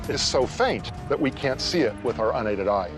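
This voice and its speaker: A man gives a measured, explanatory science interview as an expert. His voice sits at a medium pitch. Clear music runs behind his words. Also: expressive. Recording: music laid under interview.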